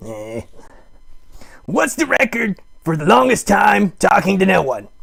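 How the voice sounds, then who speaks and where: A man voicing a needy, melodramatic puppet streamer, theatrical, sarcastic and mock-grand, with a gravelly, husky voice, improvising a comic livestream. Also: high voice, a bit bright, full character voice. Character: melodramatic, needy puppet live streamer with big wry bravado. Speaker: host of a live puppet show stream.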